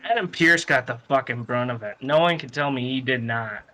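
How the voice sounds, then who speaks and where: A man's voice: mid-range voice, brisk, bright, forceful tone. A man co-hosting a wrestling podcast, chatting casually and bluntly with some swearing. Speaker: podcast co-host. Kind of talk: casual wrestling review chat.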